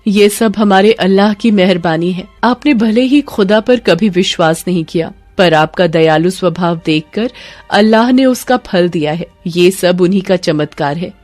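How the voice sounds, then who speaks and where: A woman narrator plays a devout village wife in a cartoon. She sounds earnest and reassuring in a slightly low voice, with music underneath. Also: a little mellow, dry recording, lightly acted. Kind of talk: Hindi moral cartoon dialogue.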